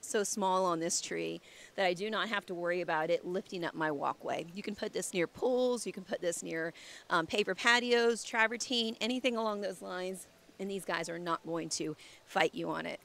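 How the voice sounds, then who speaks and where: A woman's voice: lowish voice, moderate pace, gravelly, fairly bright tone. A woman in her thirties, a landscape designer, giving an enthusiastic, chatty walkthrough of her garden outdoors on a handheld mic while losing her voice.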